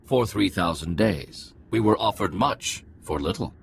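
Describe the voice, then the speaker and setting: An actor playing an aloof, solemn alien ruler in a video game, giving stately, oracular pronouncements. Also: male voice, sits low, expressive, a little slow, creaky, slight background noise, heavily acted. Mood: detached. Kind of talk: dramatic video game acting.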